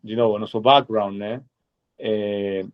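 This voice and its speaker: A man with an Argentine Spanish accent presents himself as a lawyer in a webinar. His voice sits low and he pauses often on hesitant fillers. The sound is dull and lo-fi. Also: fairly even intonation. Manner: measured, many hesitant "eh" fillers. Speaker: lawyer guest.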